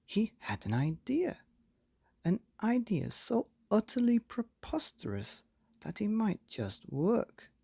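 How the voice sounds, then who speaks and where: A man hosting and reading a children's bedtime story, with theatrical, dramatic emphasis and drawn-out words. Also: high-pitched, wildly swooping pitch, gravelly, dark tone, husky, dry recording, studio quality. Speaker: podcast host and story reader.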